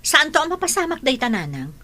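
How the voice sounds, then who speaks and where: A woman's voice: high voice, huge pitch swings, quick pace, pushed and bright, heavily acted. A woman playing a strict, stubborn working mother in a radio drama, curt and insistent.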